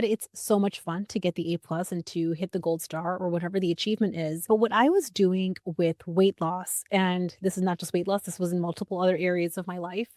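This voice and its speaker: A woman hosting her own podcast, talking solo with an upbeat, earnest and encouraging manner. Her voice is raspy because she is getting over a cold. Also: fairly low pitch, a little mellow, no room sound, studio quality. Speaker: podcast host.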